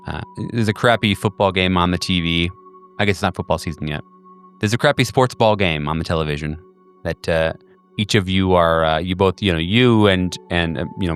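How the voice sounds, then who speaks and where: A man narrating as game master of a tabletop horror game, talking in a halting way with many restarts. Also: low voice, a bit bright.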